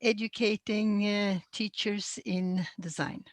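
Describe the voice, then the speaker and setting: A woman from Norway who trains teachers in design, speaking calmly and plainly on a video call. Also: medium pitch, slow, no echo. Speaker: design educator.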